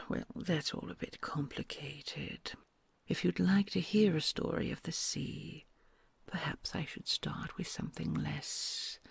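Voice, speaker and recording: A woman voicing a kind, gentle female red dragon queen in an ASMR audio roleplay, talking in a soft, soothing, mostly whispered way. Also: low-pitched, creaky, breathy edge, no room sound, full character voice. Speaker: voice actor.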